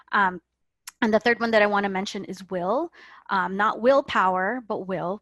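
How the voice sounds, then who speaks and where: Female life coach, interview guest, earnest and explanatory, mid-pitched, dry sound. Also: a bit bright, no echo. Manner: earnest, often tags sentences with "right?". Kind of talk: motivational interview talk.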